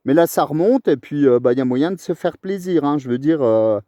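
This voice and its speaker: A chatty Frenchman with a slightly high, mellow voice. He rambles along enthusiastically in long, casual turns as a radio operator. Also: expressive, fairly quick, no echo, studio quality. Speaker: amateur radio operator recording his contact.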